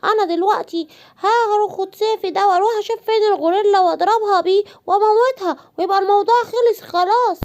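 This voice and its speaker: Woman as a shrill, excitable cartoon parrot. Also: quick pace. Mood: boastful, determined. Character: excitable boastful male parrot, self-styled problem solver. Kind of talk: children's cartoon story.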